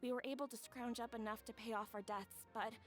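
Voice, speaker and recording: A woman playing a gentle, grateful young bride-to-be who tells her story softly and from the heart. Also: on the high side, steady tone, fairly quick, soft background music, lightly acted. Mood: wistful.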